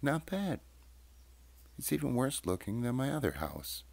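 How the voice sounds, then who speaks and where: A man putting on a chatty, high-voiced pack rat. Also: very animated, a little slow, creaky, darkish tone, dry recording, heavily acted. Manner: chatty, breezy. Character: messy cheerful male pack rat.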